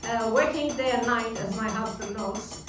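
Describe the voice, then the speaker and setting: A woman's voice: on the high side, normal speed. A woman in her thirties with a German accent speaks earnestly at an awards evening, stopping on small fillers as she goes. She is heard from some way off in a big echoing room, with music behind her. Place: large echoey function room. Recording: echoey room, distant mic, music behind.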